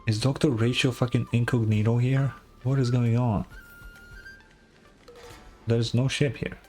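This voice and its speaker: A woman's voice: very deep voice, fairly even intonation, a little slow, mellow, faint music behind. A woman game streamer giving casual, informal live commentary with a wry, sweary manner.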